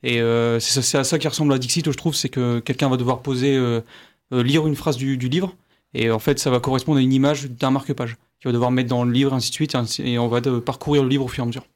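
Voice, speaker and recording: A man speaks quickly in an ordinary mid-pitched voice. He is a panelist on a board game show, explaining how things work in a casual, chatty way. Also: steady tone.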